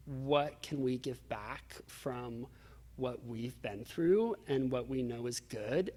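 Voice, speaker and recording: A man's voice: medium pitch, darkish tone. Male interview guest, mid-range voice, sincere and thoughtful storytelling, recorded with echoing hall reverb.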